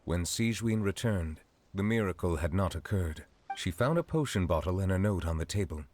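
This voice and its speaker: Very deep, dignified old judge. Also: male voice, expressive, a little mellow. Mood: solemn, courteous. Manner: formal, measured, stately. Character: ageless, dignified chief judge, formal and reserved. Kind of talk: video game dialogue acting.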